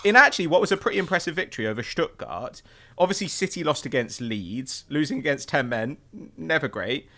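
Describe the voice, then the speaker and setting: A man's voice: fairly high pitch, lively intonation, a little fast, bright, forceful tone. A man hosting a sports podcast, articulate and conversational as he steers the discussion with questions. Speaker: podcast host.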